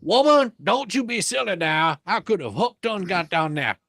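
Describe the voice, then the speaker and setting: A man voicing an excitable, brash young boy in a storybook, with folksy, ungrammatical, exclamatory speech. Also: sits high, moderate pace, gravelly, dry recording, clean studio sound, pitched above the performer's own voice, full character voice. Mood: insistent.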